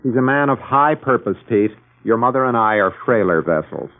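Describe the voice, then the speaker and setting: A man's voice: mid-range voice, animated, fairly quick, natural voice. An actor playing a weary, wry American husband and father in a mid-century radio drama, dry and a little grandiloquent. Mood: dry, resigned. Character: wry, weary married lawyer and father, narrating his ordeal. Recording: tinny band-limited old radio broadcast, music behind.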